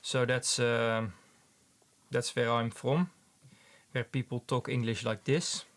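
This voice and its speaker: A man's voice: medium pitch, level tone, a bit bright. Dutch-accented male streamer, casual, stop-start delivery. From Netherlands. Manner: halting, repeats words, many ums. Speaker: livestream host, paint maker. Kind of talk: casual livestream chat with viewers.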